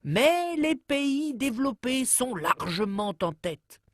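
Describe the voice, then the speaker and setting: A woman narrates an educational cartoon like a storybook tale, in a measured, deliberately phrased storytelling delivery. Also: high-pitched, very animated, dry recording.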